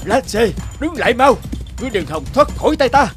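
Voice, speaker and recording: A man voicing an angry, scheming goblin villain in a fairy-tale cartoon, shouting menacing threats. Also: extremely high pitch, sing-song, a little fast, soft background music. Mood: furious. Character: angry scheming male goblin guarding magic horses.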